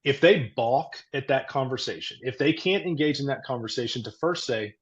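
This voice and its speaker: A man working as a therapist, a guest on a casual podcast chat, talking earnestly in a loud, booming voice and explaining things through everyday comparisons. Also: moderate pace, muffled recording.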